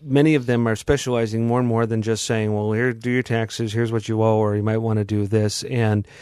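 Male advice host, calm and explanatory, dry studio voice. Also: lowish voice, clear, resonant tone, no echo. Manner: measured, explanatory, dry humor. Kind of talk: radio advice talk.

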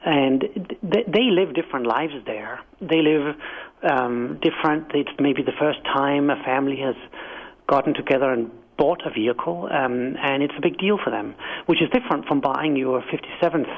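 A man's voice: mid-range voice, moderate pace, creaky. A middle-aged man from Denmark with a Danish accent, a book author answering radio interview questions thoughtfully, with many fillers and restarts. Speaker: author and guest. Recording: phone-line guest audio.

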